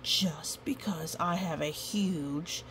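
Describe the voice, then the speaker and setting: A woman's voice: fairly low pitch, slow pace, vocal fry, a bit bright, slight background noise, heavily acted. A woman voicing an unhappy, indignant male monster from a picture book, protesting emphatically with hurt and pleading.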